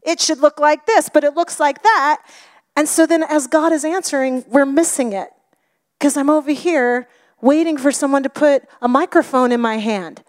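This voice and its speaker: High-voiced woman preaching, hushed to emphatic. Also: moderate pace. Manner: expressive, often drops to a whisper. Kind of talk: sermon.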